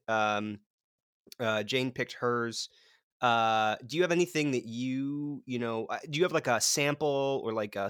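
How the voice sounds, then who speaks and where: A man's voice: mid-range voice, a bit bright, smooth, no echo, clean studio sound. A man co-hosting a comedy podcast in casual, bantering conversation. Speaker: podcast co-host. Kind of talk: casual comic podcast chat.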